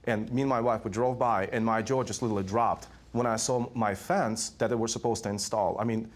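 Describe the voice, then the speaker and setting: A man's voice: fairly low pitch, fairly even intonation, brisk. A man giving testimony as a defendant in a TV court, explaining his side.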